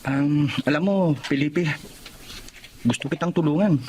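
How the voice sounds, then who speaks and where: An actor in a Tagalog radio drama playing a sympathetic, plainspoken jeepney driver friend. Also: male voice, fairly high pitch, a little slow, a little mellow, slight background noise, close to an everyday voice. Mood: concerned.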